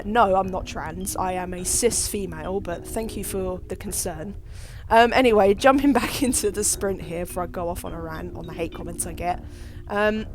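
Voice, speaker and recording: A young woman chats her way through a game walkthrough, rambling and self-deprecating as she plays. Her pitch sits in an everyday middle range for a woman. Clear background music plays under her voice. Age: young adult. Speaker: gaming video host. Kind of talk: casual gameplay tutorial commentary.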